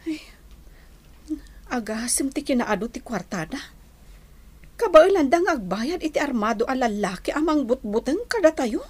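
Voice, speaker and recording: A woman's voice: sits high, sing-song, normal speed, long pauses, slightly rough, exaggerated voice. A woman acting a humble, hesitant and fearful Filipino village neighbour in an Ilocano radio drama. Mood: worried.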